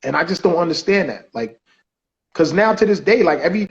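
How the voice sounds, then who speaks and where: A man co-hosting a podcast in casual debate, rambling loosely and shouting out viewers' comments. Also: a little high, expressive, a little fast, gravelly, lo-fi sound. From United States. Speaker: podcast co-host.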